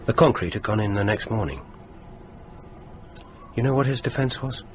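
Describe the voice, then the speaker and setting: An actor with a British accent playing a morbidly curious, needling stranded traveller in a radio drama. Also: male voice, on the low side, lively intonation, normal speed, a little hiss or hum, natural voice. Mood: dry, morbid.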